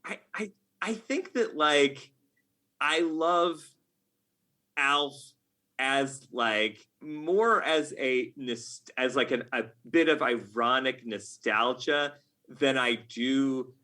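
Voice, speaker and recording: A man's voice: mid-range voice, takes it slowly, pushed and bright. A middle-aged man talking casually as a podcast guest, with a wry, self-mocking, nerdy enthusiasm. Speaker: podcast guest, game writer.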